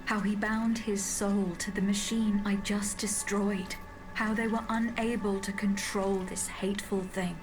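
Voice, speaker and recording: An actress playing a composed royal bodyguard in a video game, speaking formally and with earnest insistence. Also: female voice, mid-range voice, flat delivery, unhurried, natural voice, poor audio. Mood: grave insistence. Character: composed, insistent bodyguard woman revealing hard truths. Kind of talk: dramatic video game cutscene acting. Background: music.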